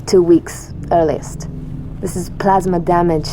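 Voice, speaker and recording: An actress playing a brisk, confident female military tech specialist in a video game, clipped and matter-of-fact. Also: lowish voice, fairly even intonation, background noise. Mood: focused, dry. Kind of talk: video game cinematic acting.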